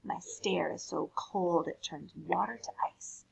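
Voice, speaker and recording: Woman playing a nasty, sour little girl, slightly gravelly. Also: fairly low pitch, a little slow, a little mellow, dull audio, pitched below the performer's own voice, heavily acted. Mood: sour, menacing. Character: nasty sour girl Pickle, miserable and menacing.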